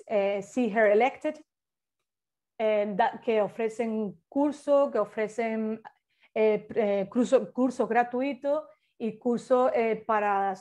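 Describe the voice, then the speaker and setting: A woman's voice: a little high, warm tone. A woman leading a workshop, explaining at length in an informal way, with many hesitations and restarts, over a video call. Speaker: workshop facilitator.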